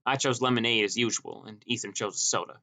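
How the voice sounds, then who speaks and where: A man's voice: mid-range voice, fast, pushed and bright. A man reading a scripted first-person story as an audiobook narrator.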